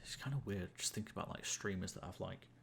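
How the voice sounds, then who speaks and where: Young male streamer, low gravelly voice, easygoing rambling chat, faint hiss on the mic. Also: quick pace. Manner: rambling, self-amused, many fillers and restarts. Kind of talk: casual gameplay chat to viewers.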